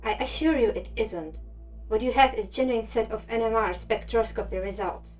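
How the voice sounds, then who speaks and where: A woman's voice: on the high side, moderate pace, darkish tone, soft background music, close to an everyday voice. A woman playing a guarded, businesslike recruiter in an audio drama, formal and clipped. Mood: guarded, persuasive. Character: guarded, businesslike female recruiter for secret facility. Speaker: voice actor in a fiction podcast.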